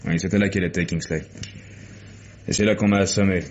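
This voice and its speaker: An actor playing a cool, sardonic private detective in a French radio crime drama, speaking in a dry, measured, needling way. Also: male voice, sits low, fairly even intonation, natural voice. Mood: dry, insistent. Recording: dull, faintly noisy old radio recording.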